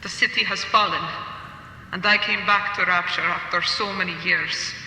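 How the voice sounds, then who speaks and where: An actor playing an embittered, mournful survivor with quiet menace, speaking in grandiose, theatrical lines in a radio drama. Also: male voice, fairly even intonation. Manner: grandiose, theatrical, measured speech. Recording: echoing chamber with faint rumble noise.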